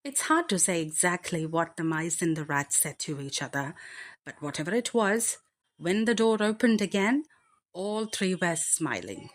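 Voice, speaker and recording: A woman reading a children's bedtime story aloud with deliberate, expressive phrasing. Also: fairly low pitch.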